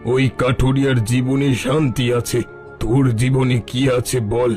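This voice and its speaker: A man's voice: mid-range voice, level tone, a little slow, a little mellow, soft background music, natural voice. Man playing a solemn old repentant bandit chief. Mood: earnest urging. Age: older. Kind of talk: Bengali cartoon story dialogue.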